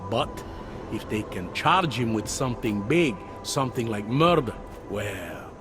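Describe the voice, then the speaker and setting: An actor playing a weary, self-deprecating older cop near retirement in a video game, talking in a wry, conversational way. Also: male voice, medium pitch, lively intonation, a little slow, lightly acted. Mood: matter-of-fact. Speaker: police officer. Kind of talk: video game cutscene dialogue. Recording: background music under dialogue.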